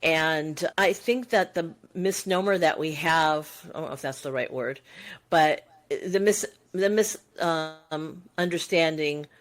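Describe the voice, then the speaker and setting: A woman in her sixties with a notably low voice talks through her thoughts in long, winding sentences. She muses reflectively, in a casual podcast chat. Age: 60s. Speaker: podcast co-host.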